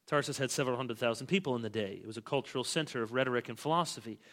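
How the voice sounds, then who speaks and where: A middle-aged male pastor preaching an expository sermon, swinging between loud, stressed phrases and quiet asides. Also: medium pitch, rapid-fire. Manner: emphatic, with big swings in loudness.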